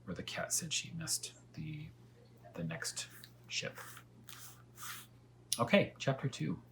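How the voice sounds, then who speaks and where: A man with a fairly low voice reads aloud like a primary school teacher. His intonation is very animated, and he slips into casual, simply worded musing for young listeners. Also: slight background noise. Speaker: first-grade teacher reading aloud. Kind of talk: children's read-aloud with casual commentary.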